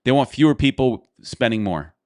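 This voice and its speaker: A man's voice: mid-range voice, lively intonation, moderate pace, darkish tone, dry recording, studio quality. A man hosting a podcast, chatting casually and rambling as he thinks aloud. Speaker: podcast host. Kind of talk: casual chat.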